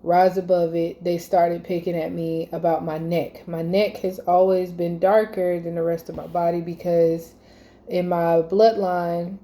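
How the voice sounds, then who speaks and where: A woman in her thirties speaks in a casual home chat with her daughter. Her voice sits a little low, with a very dark, mellow tone. She talks in a loose, easygoing way and teases and laughs often. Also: little pitch movement, measured pace. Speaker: mother interviewing her daughter.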